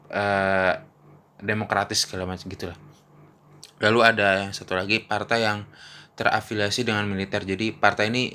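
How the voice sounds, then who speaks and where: Brief: young male podcast host, low and bright, loose rambling chat into a phone mic. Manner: slangy, rambling, laughs often. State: has a mouth ulcer. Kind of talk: casual solo podcast chat.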